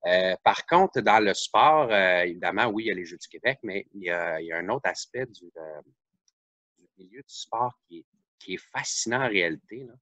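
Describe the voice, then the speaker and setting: A man's voice: deep voice, lively intonation, moderate pace, pushed and bright, no echo, lo-fi sound. A man with a Québécois accent hosting a podcast, chatting casually and searching for words with frequent restarts. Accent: Québécois French. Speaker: podcast host. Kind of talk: casual interview chat.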